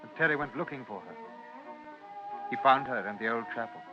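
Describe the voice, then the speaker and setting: A man narrating a literary 1950s radio drama in a measured, dramatic manner. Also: medium pitch, lively intonation, over music. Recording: muffled vintage radio recording.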